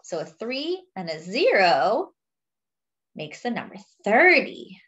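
Slow, lilting young woman reading to children. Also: medium pitch, big pitch swings, fairly bright tone, dull audio. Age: young adult. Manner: deliberate sing-song counting and reading, addressing children. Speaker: children's story reader. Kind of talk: picture book read-aloud for young children.

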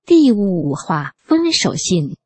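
Lady doing a title announcement, slow n sing-songy, kinda noisy recording. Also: mid-range voice, big pitch swings.

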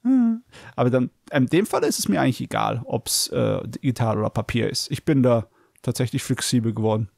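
Male co-host, mid-pitched with noticeable fry, casual thinking-aloud delivery. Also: a little fast, a little mellow, no echo, studio quality. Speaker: podcast co-host. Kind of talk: casual chat.

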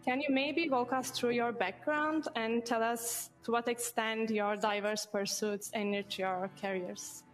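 Young woman moderator, friendly, kinda high voice, headset mic on stage. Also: steady tone, a little slow, hardly any pauses, soft background music. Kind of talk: moderating a panel. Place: studio stage with audience.

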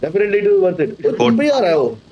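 A man's voice: high voice, sing-song, normal speed, highs cut off, a crowd in the background. A man in his thirties with an Indian accent giving bossy, repetitive, teasing calls to teammates during a live game stream. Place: small indoor streaming room.

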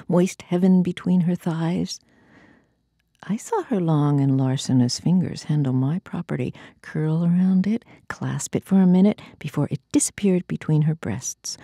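A woman performs spoken-word poetry in a deep, dark voice with a husky grain. Her delivery is theatrical and deliberate, with breathy, hushed drops. The sound is close and dry. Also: dry recording. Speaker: poetry reader.

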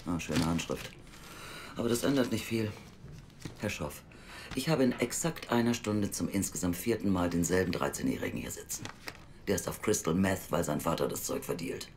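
A woman's voice: a bass voice, lively intonation, normal speed, darkish tone, slight background noise. An actress playing a blunt, sceptical, world-weary female youth welfare officer in an audio drama, speaking drily. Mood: weary, stern. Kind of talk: naturalistic audio drama acting.

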